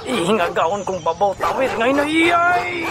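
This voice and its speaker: A man with a Filipino accent playing an anxious, hurried action hero who mutters his moves to himself in an audio drama. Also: very high voice, sing-song, a loud crowd, heavy background noise, full character voice. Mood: tense, effortful. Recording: loud music bed under the voice.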